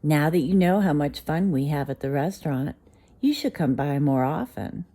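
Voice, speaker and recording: A woman's voice: sits low, lively intonation, normal speed, mellow, slightly rough, full character voice. A woman voicing a kind young princess chef in a bedtime storybook, in a reassuring tone. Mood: fond.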